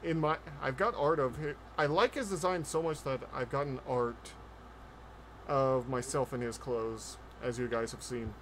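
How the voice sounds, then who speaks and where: A man's voice: mid-range voice, moderate pace, crowd noise. A man doing casual streamer commentary as he reacts to a video, close on the mic. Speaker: streamer, VTuber host. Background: trailer music and sounds.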